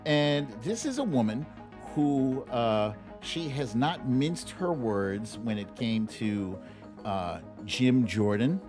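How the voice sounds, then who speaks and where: A man hosting a live show with casual, conversational commentary, using playful emphasis and dramatic pauses. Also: medium pitch, very animated, music in the background. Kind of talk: casual livestream commentary, reading viewer comments.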